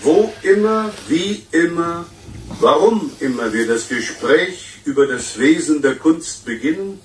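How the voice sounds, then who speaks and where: A man's voice: fairly high pitch, lively intonation. A man playing a pompous essayist delivering a solemn, declamatory lecture on tape in a radio play. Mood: grandiose. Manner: pompous, drawn-out oratory. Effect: played back from studio tape. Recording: echoey, distant, noisy tape playback.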